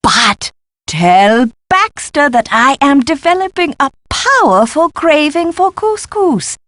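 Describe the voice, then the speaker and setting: An actress playing an eccentric, excitable, absent-minded woman professor in a video game, with a flighty, pompous and exclamatory delivery. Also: high voice, slow, no echo, exaggerated voice. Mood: giddy, scattered. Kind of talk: theatrical video game voice acting.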